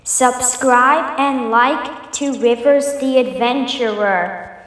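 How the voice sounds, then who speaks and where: A woman's voice: fairly high pitch, slow, a bit bright, echoey room, low-quality recording. A woman reciting a promotional line through novelty voice-changer filters. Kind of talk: repeated channel promo line.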